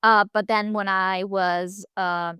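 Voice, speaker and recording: A woman's voice: medium pitch, little pitch movement, slow, pushed and bright, clean tone, no echo. A woman in her twenties, raised in Italy and Spain, speaking with an American accent as a podcast guest, telling her story in a chatty way. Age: 20s. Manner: chatty, storytelling with quoted asides. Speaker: podcast guest, marathon runner. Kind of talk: casual interview chat.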